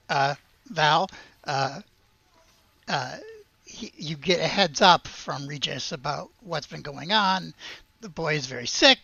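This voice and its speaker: Halting game master with a creaky voice. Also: mid-range voice, bright, forceful tone, no echo, lo-fi sound. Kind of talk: narrating a tabletop roleplaying game.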